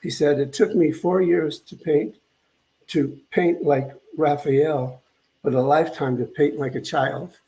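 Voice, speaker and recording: An older man giving a conversational spiritual talk as a minister, reflective and halting, often repeating phrases as he goes. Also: fairly high pitch, fairly even intonation, gravelly, dark tone, poor audio.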